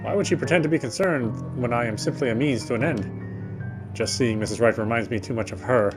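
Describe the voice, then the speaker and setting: A man's voice: mid-range voice, a little fast. A man playing a visual novel and reading its narration aloud as he goes. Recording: game music behind the voice.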